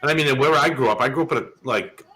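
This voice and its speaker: A man in his thirties from Massachusetts, telling profane, animated stories in a casual chat and acting out the quotes. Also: medium pitch, fast talker, background noise.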